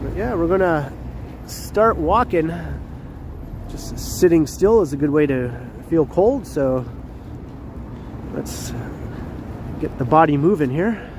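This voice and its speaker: A man's voice: a little high, expressive, takes it slowly, long pauses. A man with a stuffy nose from a cold, chatting and greeting viewers by name while he walks a city street on a livestream. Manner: chatty, laughs often, greets chat readers by name. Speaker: livestream host. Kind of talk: casual walking livestream chat. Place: city street outdoors at night, with traffic. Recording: outdoor street noise.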